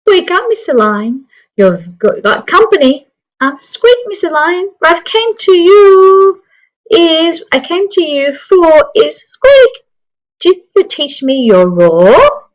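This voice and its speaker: High-pitched little male mouse, acted by a woman, meek, hesitant, polite, squeaky, children's storybook character. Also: big pitch swings, takes it slowly, heavily acted, poor audio. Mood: nervous, polite. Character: tiny meek timid brown male mouse, wishing to be brave. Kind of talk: children's picture book read-aloud.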